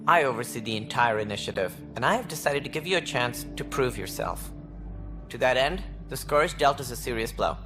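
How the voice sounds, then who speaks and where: Man acting condescending alien director over soft music. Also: medium pitch, expressive, lightly acted. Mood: authoritative. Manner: measured, formal, condescending. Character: calculating, self-assured male alien space-station director. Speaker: director of a space initiative. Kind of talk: video game cutscene acting.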